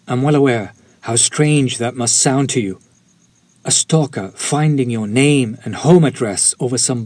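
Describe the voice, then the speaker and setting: A man's voice: mid-range voice, a little mellow, dry recording, lightly acted. A man playing a courteous, lovesick, centuries-old vampire in an intimate audio roleplay, with formal, old-fashioned and pleading speech. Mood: apologetic, formal. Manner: formal, archaic, ornate, apologetic phrasing. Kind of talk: scripted romantic audio roleplay, intimate direct address.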